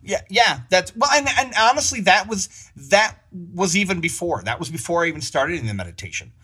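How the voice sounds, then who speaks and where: A man's voice: high-pitched, very animated, a little fast, pushed and bright, slightly rough. A man hosting a casual interview, talking in a rambling way with many restarts and laughs. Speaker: podcast host.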